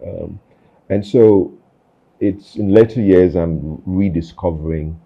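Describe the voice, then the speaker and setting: Give me a deep-voiced male host, husky and unhurried, with a slightly dull lo-fi recording. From Nigeria. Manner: thoughtful, halting, many fillers and restarts. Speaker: podcast host. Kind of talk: reflective conversational interview.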